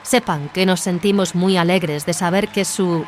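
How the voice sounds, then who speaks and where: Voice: mid-range voice, measured pace, faint music behind, pitched above the performer's own voice, natural voice. A performer voicing a cautious, diplomatic warrior nun commander, formal and authoritative, in an audio drama. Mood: formal greeting.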